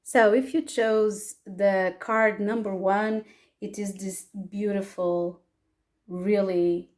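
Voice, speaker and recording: A woman's voice: medium pitch, a little mellow. A woman from Portugal with a Portuguese accent, speaking English as a second language and hosting a casual pick-a-card tarot reading, hesitant with many fillers and restarts. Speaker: tarot reader and astrologer.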